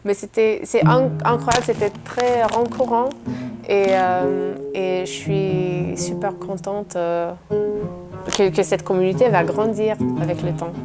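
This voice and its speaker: A woman's voice: mid-range voice, flat delivery, unhurried. A woman in her thirties, a bookshop owner, talking hesitantly in a documentary interview. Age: 30s. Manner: hesitant, searching for words. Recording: guitar music playing underneath. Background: guitar music.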